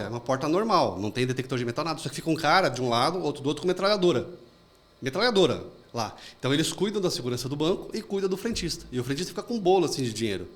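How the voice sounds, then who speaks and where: A man hosting an interview, chatting casually and telling anecdotes. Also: a little high. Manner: fast, chatty storytelling with anecdotes. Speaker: podcast host.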